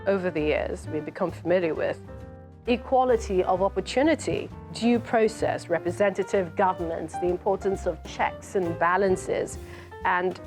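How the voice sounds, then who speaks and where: A woman, an author reading from her book and commenting on it in an explanatory, measured way. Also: fairly low pitch. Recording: music playing behind the voice. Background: music.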